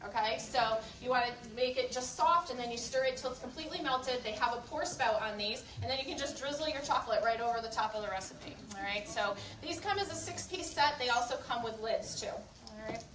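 Bright, high-voiced woman demonstrating kitchenware. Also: fairly quick, few pauses. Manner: chatty, instructive, often checking in with questions. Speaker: cooking show demonstrator selling kitchenware. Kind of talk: live product demonstration to a group. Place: indoor room at a live event. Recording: roomy, distant mic, faint background noise.

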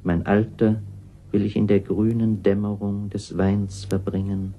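A man's voice: lowish voice, flat delivery, a little slow, warm tone, slight background noise. A man giving a solemn, reflective reading of literary prose for radio, slightly muffled. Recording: slightly dull, muffled sound.

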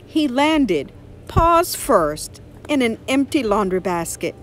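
Animated woman reading a children's storybook. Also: fairly high pitch, slow, soft background music. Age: middle-aged. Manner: animated, dramatic stretched words and character voices. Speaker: storybook reader. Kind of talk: expressive children's picture book read-aloud.

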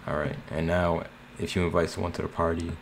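A man's voice: low-pitched, level tone, a little fast. A man narrating a casual screen tutorial in a plain, slightly hesitant way. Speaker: tutorial host. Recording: clear background noise.

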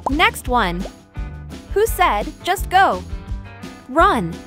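A woman's voice: high-pitched, sing-song, extremely slow, music in the background. A woman hosting a quiz with scripted, upbeat narration. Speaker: quiz host.

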